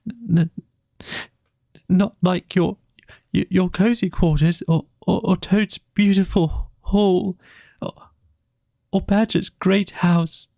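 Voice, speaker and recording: A little storybook mole, voiced by a man, pitched well up from a normal male voice. He is gentle and homesick, and his words come out broken, stammered and tearful. The sound is close and dry. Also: steady tone, slow pace, pauses often, no room sound. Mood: sobbing, pleading. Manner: stammering, broken speech with gulps.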